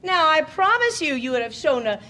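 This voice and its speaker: A scornful, sharp-tongued young bride-to-be in a stage comedy. Her voice is high and bright, drawn out slowly with big theatrical swoops. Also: sing-song, exaggerated voice. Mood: indignant. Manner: scornful, biting theatrical delivery. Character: sharp-tongued, furious, defiant unwilling bride. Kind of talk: classic stage comedy audio play.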